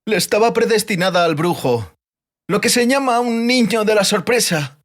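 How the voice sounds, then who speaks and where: A man's voice: high voice, lively intonation, moderate pace, pitched above the performer's own voice. A man voicing a terrified captive bard in an audiobook, breathless and whimpering as he pleads and rambles through frantic denials. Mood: tearful pleading.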